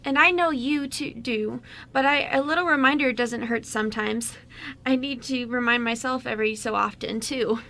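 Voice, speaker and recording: A woman playing a sweet, supportive young best friend in an amateur radio drama, gentle and encouraging. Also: fairly high pitch, normal speed, creaky.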